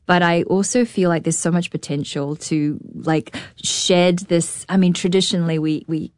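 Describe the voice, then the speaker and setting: Kiwi woman in her 30s, kinda low voice, earnest, lots of questions, dry close sound. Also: steady tone, moderate pace, a little mellow, no echo. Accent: New Zealand. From New Zealand. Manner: earnest, searching, many rhetorical questions. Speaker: guest, orchestra conductor. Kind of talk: reflective interview conversation.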